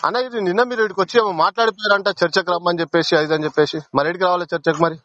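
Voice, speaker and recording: Furious caller, man, rapid-fire confrontational, bright voice over a muffled line. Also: sits high, steady tone, dry recording. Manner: angry and confrontational. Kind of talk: heated phone argument. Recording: muffled phone call.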